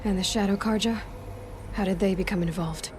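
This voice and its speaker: A woman's voice: mid-range voice, fairly quick, close to an everyday voice. An actress playing a determined, sceptical young female hunter in a video game, questioning in a guarded, pointed way. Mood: sceptical, demanding. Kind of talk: dramatic video game acting. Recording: noticeable background noise and ambience.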